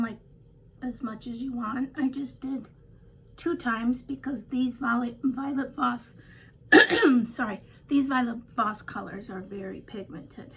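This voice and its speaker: An older woman with a slightly raspy voice, chatting casually through a home makeup tutorial with rambling, self-deprecating asides. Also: a little high, a bit bright, slight background noise, poor audio. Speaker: beauty vlogger.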